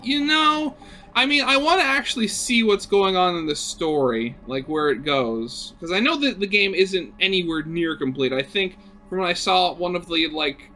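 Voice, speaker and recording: A man's voice: high-pitched, expressive, moderate pace, bright, forceful tone, faint music behind. A man narrating a visual novel aloud as a gaming host, switching between storybook-like reading and offhand, sarcastic commentary.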